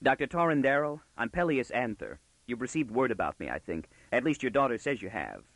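A man's voice: medium pitch, big pitch swings, fairly quick, a bit bright, dry recording. A man voicing a wry young male intruder in a storybook, gritty and sardonic with mocking courtesy. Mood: relieved, teasing.

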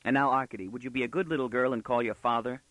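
A man's voice: mid-range voice, steady tone, very fast, fairly bright tone, no echo, natural voice. A man voicing a wry young male intruder in a storybook, gritty and sardonic with mocking courtesy. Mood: strained courtesy.

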